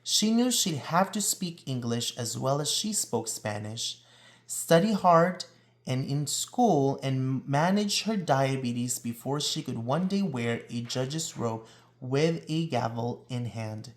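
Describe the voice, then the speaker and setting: A man reading a children's picture book aloud in a deliberate, expressive storytelling delivery, drawing out words for emphasis. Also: mid-range voice. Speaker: read-aloud reader.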